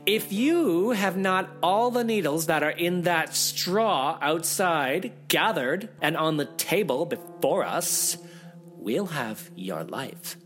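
A man's voice: a little high, expressive, takes it slowly, fairly bright tone, soft background music, voice pushed up. A man voicing a cruel, threatening young woman in a storybook reading, with a menacing manner. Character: two cruel threatening older half-sisters, young women.

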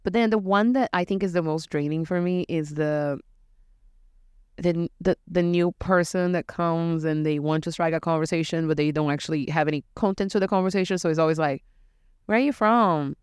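Animated Brazilian-born woman bartender. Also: lowish voice, fairly quick, smooth, dry recording, clean studio sound. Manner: animated, acts out customers' questions with laughs. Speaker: podcast co-host, bartender. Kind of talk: casual chat.

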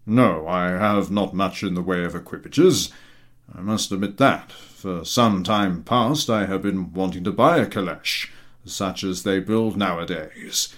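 A man voicing a gruff, unhurried military general with a blunt, reserved manner in a story reading. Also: deep voice. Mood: measured, curious.

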